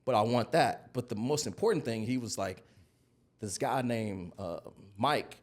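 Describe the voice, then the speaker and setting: A man's voice: medium pitch, unhurried. An American man in his early forties, a podcast guest telling stories in a casual, conversational style. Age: early 40s. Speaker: podcast guest, digital marketing consultant.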